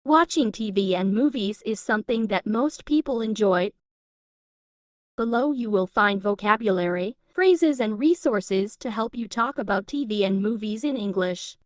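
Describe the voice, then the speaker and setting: A woman reading an English lesson, saying each practice phrase twice in a slow, even way. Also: medium pitch, no echo, clean studio sound.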